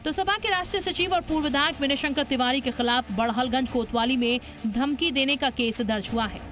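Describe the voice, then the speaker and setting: A woman's voice: high-pitched, a little fast, a bit bright, soft background music. A woman presenting scripted television news narration with a dramatic, emphatic delivery.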